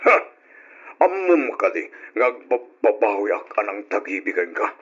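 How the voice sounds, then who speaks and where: An actor playing a stern, reproachful father in an old-style radio drama, speaking with husky emphasis. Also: on the low side, very animated, measured pace, a bit bright, dull audio, exaggerated voice. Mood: angry accusing.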